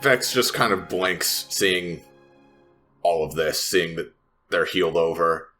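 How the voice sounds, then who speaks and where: Very low, slow-spoken male player. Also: bright, forceful tone, faint music behind. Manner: hesitant, measured. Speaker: tabletop game player. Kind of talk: improvised third-person roleplay recap.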